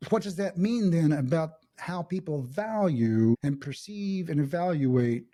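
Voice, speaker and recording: A man's voice: fairly high pitch, expressive, unhurried, mellow. A middle-aged man hosting a podcast interview, curious and chatty, thinking aloud in long asides. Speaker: podcast host. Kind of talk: casual interview chat.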